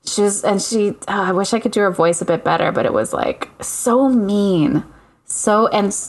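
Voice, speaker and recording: A woman co-hosting a podcast, telling a story in casual chat with lots of laughter and a high, youthful voice she calls a baby voice. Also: lively intonation, moderate pace. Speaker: podcast co-host.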